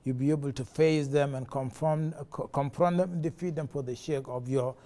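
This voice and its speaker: A man in his forties from South Sudan, with a South Sudanese accent, recalling his village childhood in a halting way with many fillers and restarts. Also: a little high, steady tone, mellow. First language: a South Sudanese language. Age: 40s. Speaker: interviewee. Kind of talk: personal testimony.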